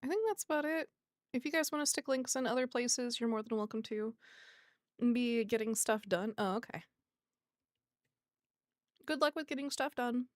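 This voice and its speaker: A soft-voiced woman hosting a livestream, chatting casually with viewers and muttering asides to herself. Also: on the high side, moderate pace, long pauses, no room sound, studio quality. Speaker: livestream host.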